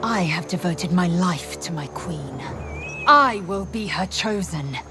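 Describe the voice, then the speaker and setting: A proud alien warrior woman for a fantasy game. Her voice is low and her delivery slow, clipped and imperious, with music behind it. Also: lively intonation. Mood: indignant, proud. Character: stern, proud young female alien warrior devoted to her queen.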